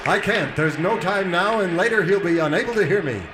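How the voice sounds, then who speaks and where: An actor playing an earnest, self-important cowboy hero in a melodramatic old-time radio serial parody. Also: male voice, high voice, animated, a little fast, over a noisy crowd, very noisy. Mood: grave urgency. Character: noble, self-important heroic singing cowboy, idol of youth.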